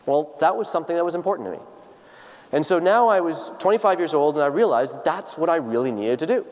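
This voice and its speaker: A man's voice: on the high side, expressive, quick pace, a little mellow. A man in his thirties giving a personal, earnest lecture to students, often restarting his sentences with fillers. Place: large lecture hall. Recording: long hall reverb.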